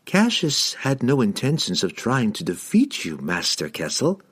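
A man voicing a sly halfling in a fantasy audiobook, feigning meek obedience in measured, persuasive speech. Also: medium pitch, wildly swooping pitch, a bit bright, breathy edge, voice pushed up, exaggerated voice. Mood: feigned submission.

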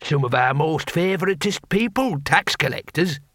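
A bloodthirsty old barbarian man, as a male narrator plays him, blunt and simple-minded with an easy menace. The voice sits slightly high and very dry, in a comic fantasy audiobook style. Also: animated, moderate pace, a bit bright, no echo. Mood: sly menace.

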